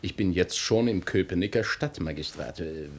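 Male bureaucrat and new reserve officer, fairly deep voice, stiffly formal, slightly embarrassed self-importance, 1950s radio drama. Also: normal speed. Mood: self-satisfied, bashful. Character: stiff, self-important young male civil servant, newly made lieutenant.

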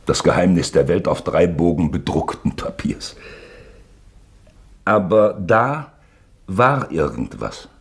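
An actor playing an aging, brooding uncle who muses hesitantly, in 1960s German radio drama style. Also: male voice, low voice, sing-song, slow, creaky, long pauses, husky, exaggerated voice. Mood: musing. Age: older. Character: aging, brooding uncle turning cranky and mystical.

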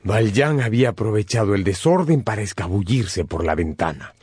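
A man's voice: on the low side, animated, moderate pace, a little mellow, slightly rough. A man narrating a scripted radio drama as a dramatic storyteller. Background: music.

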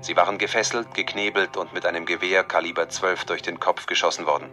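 Formal male newsreader, radio play, deep bright tone. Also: fairly even intonation, quick pace, soft background music. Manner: formal, official. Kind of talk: news bulletin reading.

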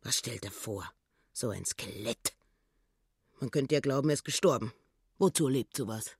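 An actress playing a self-righteous, grasping middle-aged housewife in a radio drama, indignant and full of stock phrases. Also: female voice, extremely low pitch, expressive, moderate pace, a little mellow, breathy edge, no room sound, clean studio sound, heavily acted. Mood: indignant, callous.

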